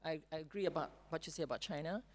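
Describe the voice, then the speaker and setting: A woman's voice: sits low, very fast, darkish tone. A woman in her forties from Singapore with a Singaporean English accent, speaking on a conference panel with a handheld mic and tagging "right?" onto her points. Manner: opinionated, frequent "right?" tags. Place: large conference stage. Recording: long hall reverb.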